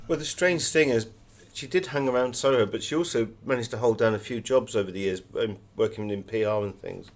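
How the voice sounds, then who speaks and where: A man's voice: medium pitch, fairly quick, few pauses. A man giving conversational, anecdotal answers as an author being interviewed.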